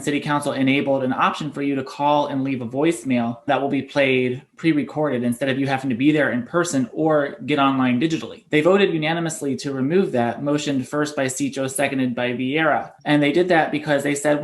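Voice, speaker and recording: A man hosting an activist panel, moderating the discussion and introducing speakers and questions. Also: medium pitch.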